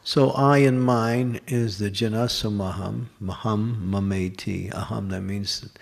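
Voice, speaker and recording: A man's voice: fairly low pitch, steady tone, unhurried, creaky. A man giving a scripture class at a temple, explaining step by step and posing and answering his own questions. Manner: lists examples in short runs.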